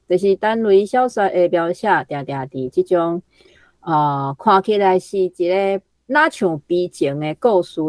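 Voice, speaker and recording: A woman with a notably low voice chatting casually as a guest about a novel. The sound is dry and close to the mic. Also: a little mellow, dry recording. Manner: chatty, laughs as she talks. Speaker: guest commentator.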